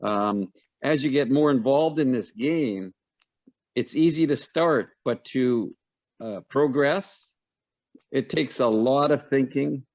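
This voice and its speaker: A man's voice: medium pitch, slow pace, darkish tone, dry recording. Earnest man talking over a video call. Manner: earnest, reflective, many ums. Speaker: guest panelist, pickleball player. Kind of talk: casual personal testimony. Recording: dull dry video-call audio.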